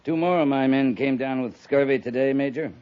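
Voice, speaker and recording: A man's voice: mid-range voice, a little fast, natural voice. An American man playing a weary, dutiful cavalry captain, respectful but blunt, in a 1950s radio drama. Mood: dry, respectful. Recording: muffled, noisy 1950s radio recording.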